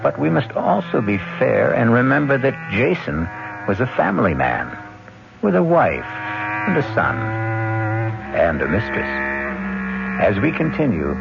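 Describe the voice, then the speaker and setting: Deep, gravelly male radio mystery narrator. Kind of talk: dramatic scripted narration. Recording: muffled, very noisy old radio broadcast.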